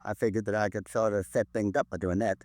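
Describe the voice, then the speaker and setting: A man's voice: fairly low pitch, steady tone, fast talker, darkish tone, dry recording, lightly acted. Man acting a weary old American confessing robber. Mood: eager, open. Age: 70s. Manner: rambling, wistful, clipped folksy sentences. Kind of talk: 1950s American radio drama acting. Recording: 1950s radio recording.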